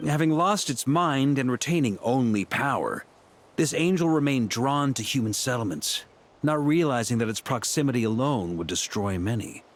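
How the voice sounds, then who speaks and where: A man's voice: medium pitch, lively intonation, moderate pace, close to an everyday voice. An actor playing a dutiful, composed male knight commander in a video game, speaking formally and earnestly. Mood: grave.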